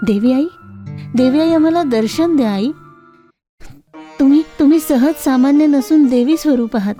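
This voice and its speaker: Humble village craftswoman for a cartoon fable, voiced by a female narrator; devout, earnest, high-pitched, music behind. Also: moderate pace, mellow, lightly acted. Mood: pleading devotion. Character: humble, devout woman who makes bangles.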